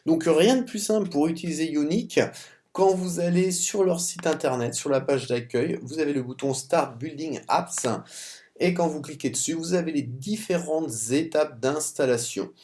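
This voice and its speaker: A man's voice: fairly high pitch, normal speed, a little mellow. A man with a cold and a French accent, talking through a screen-recorded coding tutorial, often stumbling and restarting words. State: has a cold. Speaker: software tutorial presenter.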